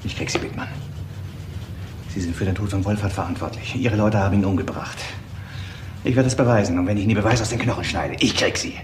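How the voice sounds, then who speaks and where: An actor playing a driven, stubborn police inspector, terse and insistent, in a radio crime drama. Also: male voice, fairly low pitch, fast talker, natural voice. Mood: defiant. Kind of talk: German crime radio play acting. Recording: echoey, noisy radio play recording.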